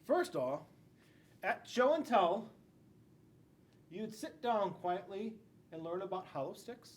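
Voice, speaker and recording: A man's voice: sits high, slight background noise. A middle-aged man, a school police officer, reading a picture book aloud to young children, stressing key words and adding asides. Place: indoors in a school classroom.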